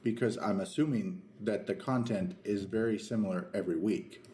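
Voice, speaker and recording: A man's voice: lowish voice, moderate pace, mellow. A man co-hosting a podcast, speaking casually in a hesitant, rambling way. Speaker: podcast co-host. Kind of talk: casual discussion. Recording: echoey room.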